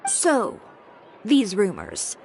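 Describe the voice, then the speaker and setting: A woman's voice: mid-range voice, wildly swooping pitch, extremely slow, gravelly, a little mellow, some chatter behind, a little hiss or hum, exaggerated voice. A woman voicing a brusque, proud young fashion designer in a video game, blunt and cool in manner. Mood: firm, cool.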